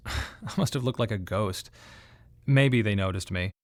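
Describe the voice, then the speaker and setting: A man's voice: on the low side, takes it slowly, dry recording, clean studio sound. An actor playing a weary, bereaved father who tells his story in a reflective, wry radio drama monologue. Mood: weary recollection.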